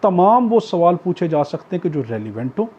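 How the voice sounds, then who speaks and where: A man with a Pakistani accent lectures in a high, mellow voice with a husky edge. He explains like a teacher, going back over each point in new words. The recording is dull and lo-fi. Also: sing-song, fairly quick. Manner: didactic, repeats and rephrases points. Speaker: law lecturer. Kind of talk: explanatory law lecture, reading out provisions then paraphrasing.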